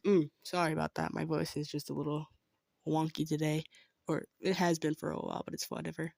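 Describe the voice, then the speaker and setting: A woman's voice: low voice, fairly even intonation, moderate pace, creaky, darkish tone, no room sound. Female creator, casual aside to listeners, rambling and unpolished, slightly apologetic, close dry sound. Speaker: audio roleplay creator.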